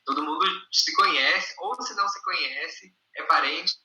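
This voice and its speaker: A man in his twenties from Brazil answering interview questions in an earnest, soft-spoken way. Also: on the high side, moderate pace, reverberant, recorded from a distance, slight background noise, low-quality recording. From interior of São Paulo state, Brazil. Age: 20s. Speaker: guest.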